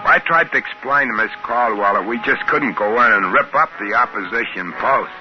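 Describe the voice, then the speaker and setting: An actor playing a folksy, easygoing space trader who narrates in a 1950s radio drama, with an American accent and colloquial, ungrammatical speech. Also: male voice, medium pitch, a little fast, over music, lightly acted. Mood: wry narrating. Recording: hissy, muffled 1950s radio recording.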